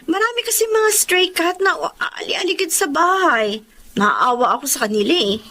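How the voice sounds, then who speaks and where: A woman's voice: extremely high pitch, normal speed, full character voice. A woman playing a cheerful, affectionate young pet lover in a Filipino TV drama. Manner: animated, affectionate, expressive. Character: cheerful, devoted young woman who dotes on rescued pets. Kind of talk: Filipino TV drama acting and narration.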